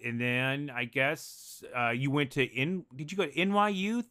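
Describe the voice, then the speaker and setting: Loud, animated male podcast guest with a bright, crisp voice. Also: medium pitch, moderate pace. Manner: naturally loud, animated storytelling. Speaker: podcast guest, improv performer. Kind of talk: casual chat.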